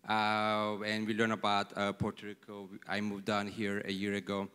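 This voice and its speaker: A man from New Jersey introduces himself on a panel in a smooth, resonant low voice. His pitch stays flat and level, and a long hall reverb follows each phrase. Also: moderate pace. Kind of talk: casual self-introduction.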